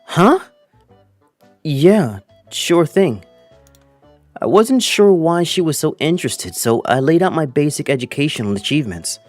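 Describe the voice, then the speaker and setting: A man's voice: a little high, expressive, normal speed, darkish tone, no room sound, faint music behind, lightly acted. A man voicing an earnest twenty-five-year-old male teacher who narrates his own story and acts out his lines in a manga dub. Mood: hopeful, earnest. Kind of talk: first-person manga dub narration with acted dialogue.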